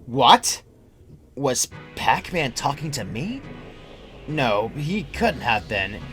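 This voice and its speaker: A man's voice: medium pitch, big pitch swings, takes it slowly, fairly bright tone, soft background music. A young adult man reading a scripted first-person creepypasta as its narrator. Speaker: horror story narrator.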